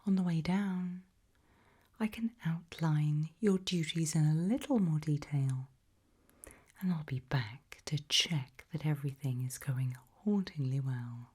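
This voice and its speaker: A British woman performing an ASMR roleplay in a breathy, gentle whisper with deliberate delivery. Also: sits low.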